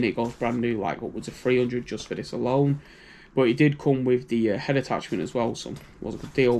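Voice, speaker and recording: A man's voice: medium pitch, darkish tone, slight background noise. A man with a British accent giving a casual, rambling walkthrough of his gear, often adding "you know". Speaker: prepping channel host.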